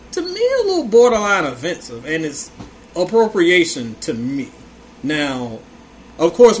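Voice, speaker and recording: Casual podcast co-host: American male, slightly high voice, opinionated and slangy, some noise behind him. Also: low-quality recording. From Hampton Roads, Virginia, United States. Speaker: podcast co-host and music reviewer.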